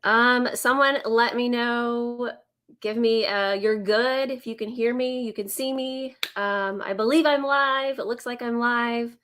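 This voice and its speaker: Slow, raspy woman on a live stream. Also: fairly high pitch, steady tone, noisy background. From Indiana, US. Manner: slow, friendly, many ums. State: raspy from a summer cold. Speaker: business coach hosting a live Q&A. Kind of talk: live-stream talk to viewers.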